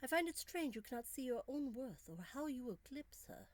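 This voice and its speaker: A woman voicing a charming, intense Victorian gentleman in a storybook, courtly, romantic and deliberate. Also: high voice, very animated, no room sound, pitched above the performer's own voice. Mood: earnest. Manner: courtly, deliberate, sometimes hushed.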